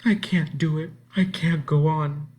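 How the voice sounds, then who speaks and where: Guy doing a scared little girl in a kids storybook, high voice, breathy and pleading. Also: fairly even intonation, a little slow, darkish tone, lightly acted. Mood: panicked, pleading. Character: frightened ten-year-old girl on a lonely winter trek. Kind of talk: children's storybook read-aloud.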